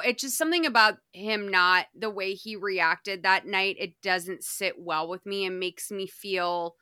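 Bright, crisp-voiced chatty woman. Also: medium pitch, dry recording, studio quality. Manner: rambling, filler-heavy, animated. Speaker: podcast co-host. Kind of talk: casual chat recapping a documentary.

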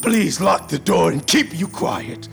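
A man's voice: high voice, expressive, a little slow, creaky, soft background music, full character voice. A man playing an enraged, jealous husband in a Shakespearean radio drama, bitterly mocking and volatile. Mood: bitter contempt. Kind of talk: modern-verse Shakespeare radio drama acting.

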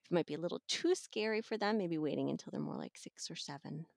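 A woman's voice: low-pitched, fast talker, gravelly, no echo. A middle-aged woman talking casually as an audiobook reviewer.

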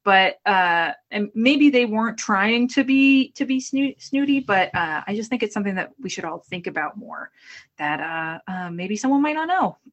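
A woman from the Boston area with a Boston accent, an author chatting casually in an interview over a video call, self-deprecating and quick to restart her sentences. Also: mid-range voice, lively intonation, highs cut off. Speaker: author guest.

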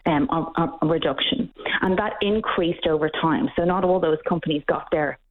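A woman's voice: sits low, normal speed. An Irish woman answering interview questions earnestly and emphatically, often restarting her sentences. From Ireland. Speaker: guest expert. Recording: tinny phone-like line.

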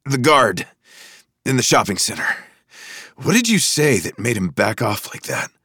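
A man's voice: mid-range voice, sing-song, a little slow, sharp tone, slightly rough, dry recording, clean studio sound, pitched above the performer's own voice, heavily acted. A man playing a wounded, stoic young American man with a terse, strained manner in an audiobook. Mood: curious. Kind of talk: audiobook character voice.

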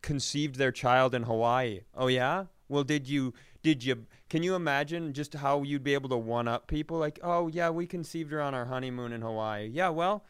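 A man with a smooth, clear voice and a fairly middle pitch, recorded close and dry. He tells stories casually for laughs, profane and hard on himself, like a comedy podcast host. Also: normal speed, hardly any pauses, dry recording. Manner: self-deprecating, profane. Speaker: comedy podcast host telling a personal story.

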